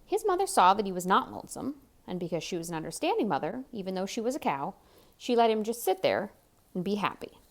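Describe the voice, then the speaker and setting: A woman's voice: medium pitch, very animated, fast talker. A woman reading a children's story aloud expressively, with chatty asides and questions to the young listener. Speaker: picture-book reader.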